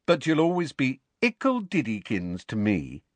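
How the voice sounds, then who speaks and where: Sullen, sarcastic teen boy, played by a male narrator, taunting, very animated pitch, storybook read, close dry sound. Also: unhurried, no room sound, heavily acted. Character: sullen, mocking teenage boy goading his cousin.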